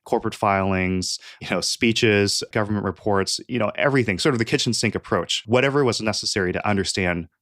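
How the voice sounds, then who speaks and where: A young adult man from California, a guest talking through his background in a relaxed podcast interview, with lots of "you know" fillers and light laughs. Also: on the low side, no room sound, clean studio sound. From California, USA. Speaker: guest expert.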